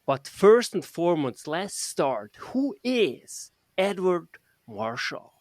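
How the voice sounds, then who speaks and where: A man's voice: high voice, very animated, takes it slowly, a little mellow, no echo, studio quality. A man hosting an interview show, reading a guest introduction and asking questions. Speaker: podcast host.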